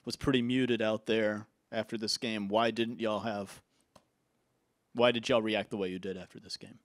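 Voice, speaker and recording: A reporter asks measured questions at a postgame press conference. His voice is low and flat, with a dry, close sound. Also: no room sound.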